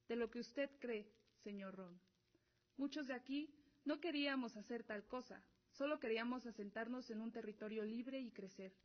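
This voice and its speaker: An earnest young noblewoman of a warrior tribe played by a woman in a radio play. Her voice sits fairly high, and the recording is dull and lo-fi. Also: natural voice. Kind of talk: scripted audio drama acting.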